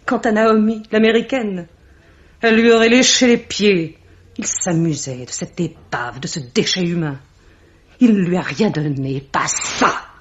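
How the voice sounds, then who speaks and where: An actress plays a mystical bookseller woman with a fairly low voice. She speaks with solemn, theatrical menace and lofty contempt, in the manner of a 1950s French radio mystery. The recording is dull and old-fashioned. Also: unhurried, natural voice. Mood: scornful. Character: haughty mystical bookseller woman, accusing and ominous.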